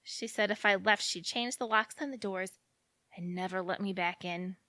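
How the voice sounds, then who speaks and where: A woman's voice: mid-range voice, normal speed, sharp tone, no room sound, natural voice. Fretful, lovelorn young woman, acted, dry recording. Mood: worried, hurt. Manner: rambling, fretful self-questioning. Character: anxious lovelorn young woman waiting for her lover. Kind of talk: audio theatre acting.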